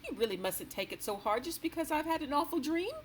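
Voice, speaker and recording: A woman's voice: sits high, expressive, fast talker, a little hiss or hum, voice pushed up. A woman doing a genteel, alarmed queen. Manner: genteel, exclamatory, commanding. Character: distressed, imperious older female queen.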